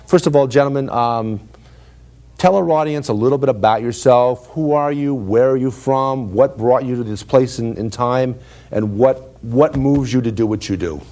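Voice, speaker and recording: A man's voice: medium pitch, normal speed, warm tone. A man hosting a public-access talk show, asking his guests friendly questions with some hesitation. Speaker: host. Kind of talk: studio interview.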